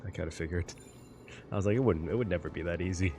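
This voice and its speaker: A man's voice: low-pitched, quick pace, over music. A man giving casual reaction commentary while gaming, rambling, repeating phrases and swearing casually. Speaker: gaming content creator.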